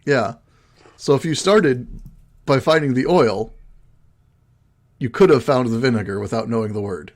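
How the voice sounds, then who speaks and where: A man's voice: medium pitch, moderate pace, long pauses. A man co-hosting a podcast, teasing his co-host dryly in casual chat.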